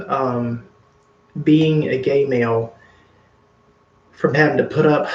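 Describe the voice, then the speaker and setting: A man's voice: medium pitch, gravelly, roomy sound, lo-fi sound. A man casually chatting to his livestream viewers, rambling and earnest with many fillers. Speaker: makeup vlogger.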